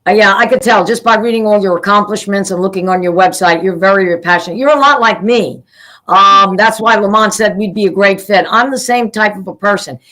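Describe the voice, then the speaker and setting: A woman's voice: mid-range voice, fairly quick, sharp tone, low-quality recording. A middle-aged woman who runs a pageant, hosting an interview in a chatty way and praising her guest effusively. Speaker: pageant CEO, interview host. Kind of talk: casual interview talk.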